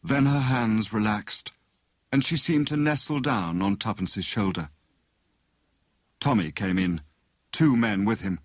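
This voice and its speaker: A man with a British accent narrating a detective story in a measured, dramatic storytelling manner. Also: lowish voice, no room sound, studio quality. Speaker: audiobook narrator.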